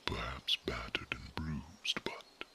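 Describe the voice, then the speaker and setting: A man voicing an ancient, wise male guardian who is not human, in an ASMR roleplay. He whispers his grave, measured counsel softly. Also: rumbling low, full character voice. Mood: solemn counsel.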